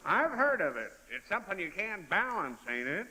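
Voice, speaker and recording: An actor playing a dim, folksy, boastful political candidate with ungrammatical speech in a 1930s radio comedy. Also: male voice, sits high, big pitch swings, moderate pace, bright, forceful tone, exaggerated voice. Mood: confidently dim. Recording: noisy, echoing 1930s radio broadcast.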